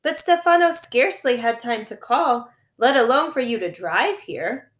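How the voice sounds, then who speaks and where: A woman's voice: on the high side, lively intonation, normal speed, pitched above the performer's own voice, close to an everyday voice. Stuffy male guardian, voiced by a woman reader: dismissive, condescending, echoey room. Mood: surprised, polite. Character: dismissive, weary grown man, stuffy family guardian.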